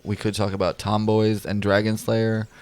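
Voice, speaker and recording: A man with a fairly low voice talks casually on a podcast, enthusiastic and rambling. The sound is dry and close. He often starts a thought, drops it, and picks it up again. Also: level tone, normal speed, dry recording, faint music behind. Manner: enthusiastic, rambling, trails off and restarts. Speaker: podcast co-host. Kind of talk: casual chat.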